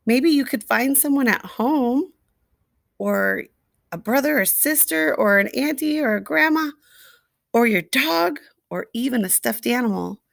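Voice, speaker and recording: Slow-paced woman reading a picture book aloud. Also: high voice, expressive, creaky, a bit bright, dry recording, studio quality. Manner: slow, deliberate, with asides and questions to young listeners. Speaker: read-aloud storyteller. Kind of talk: expressive picture-book read-aloud for young children.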